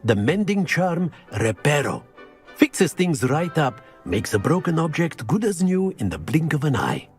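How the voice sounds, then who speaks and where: A man's voice: fairly high pitch, sing-song, a little slow, faint music behind. A man playing a jovial, theatrical professor of spells in a video game, grand, precise and encouraging. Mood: cheerful, encouraging. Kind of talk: scripted video game acting.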